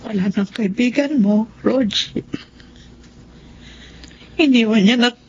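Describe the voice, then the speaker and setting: Sorrowful ailing mother, higher-pitched and tearful, radio drama, muffled sound with music beneath. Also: takes it slowly, a little mellow, breathy edge, heavily acted. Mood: sad, weary. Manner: tearful, pained. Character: grieving, self-blaming ailing blind mother. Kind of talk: Tagalog radio drama acting.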